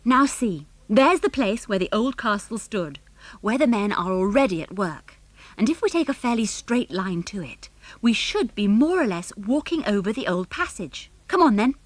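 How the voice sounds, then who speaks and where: A woman voicing a sensible boy who leads a band of child adventurers in a children's audiobook, brisk and decisive. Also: mid-range voice, lively intonation, no echo, close to an everyday voice.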